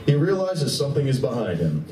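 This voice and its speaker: A man's voice: medium pitch, very animated, fairly quick, warm tone, far from the mic. A man giving dramatic scripted narration for a live radio play. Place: live stage reading at a convention. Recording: echoey room, picked up from afar.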